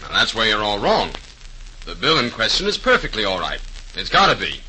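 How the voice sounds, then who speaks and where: A confident police detective in a vintage 1930s radio drama. He speaks briskly and with authority in a very bright, penetrating voice, over faint recording hiss. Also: lowish voice, wildly swooping pitch, full character voice, poor audio. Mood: assured, explaining. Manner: brisk, assured, tough-talking explainer. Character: confident, no-nonsense male police detective sergeant.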